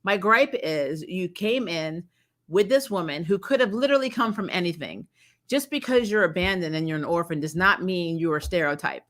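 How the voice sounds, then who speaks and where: Female reviewer, lowish forceful voice, casual and emphatic, unfiltered opinions with the odd curse. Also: lively intonation, moderate pace. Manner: blunt, mildly sweary. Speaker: host of a fan review channel. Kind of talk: casual opinionated trailer commentary.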